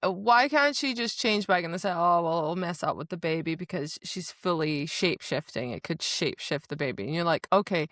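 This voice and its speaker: Casual woman podcaster, lowish voice, dry sound. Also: animated, fairly quick, hardly any pauses, a bit bright, no echo. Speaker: podcast co-host. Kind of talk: casual book discussion chat.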